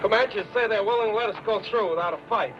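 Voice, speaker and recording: An actor playing a level-headed, cautious frontier scout in a radio western. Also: male voice, high voice, quick pace. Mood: cautious, reasoning. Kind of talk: old-time radio western acting. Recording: dull old radio recording, music behind.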